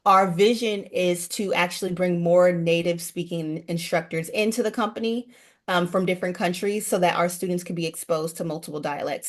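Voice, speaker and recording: A woman guest running a language program, chatting earnestly in a casual interview. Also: lowish voice.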